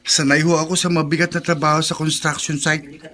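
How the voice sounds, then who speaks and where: An actor playing a modest, sympathetic young construction labourer in a radio drama, polite and self-effacing. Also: male voice, on the high side, little pitch movement, fairly quick, bright, forceful tone. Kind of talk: naturalistic radio drama acting.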